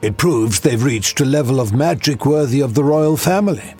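An actor playing a dry, scholarly companion in a fantasy audio drama, speaking in formal, wordy phrases. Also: male voice, mid-range voice, moderate pace, mellow, husky, faint music behind, lightly acted. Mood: intrigued, dry.

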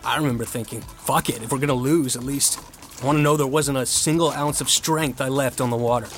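An actor playing an earnest, competitive young novice rower, narrating an audio drama in the first person with candid introspection. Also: male voice, medium pitch, steady tone, a little fast, close to an everyday voice. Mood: determined, reflective. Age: young adult. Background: music.

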